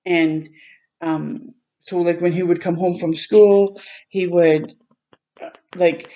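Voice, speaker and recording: A woman hosting a storytime for children, reading a picture book aloud in a gentle way and pausing to chat and explain simply. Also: on the low side, steady tone, low-quality recording. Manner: gentle, simple explaining to young listeners, with pauses to comment.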